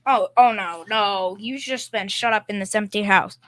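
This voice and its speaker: A woman plays a good-natured family man in an old-time radio play. The voice is bright and sharp, at a medium pitch for a woman. The delivery is plain-spoken, halting and folksy, with a slightly timid streak. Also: close to an everyday voice. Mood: earnest. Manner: folksy, halting, repeats words. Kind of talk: old-time radio comedy-drama acting, amateur school performance.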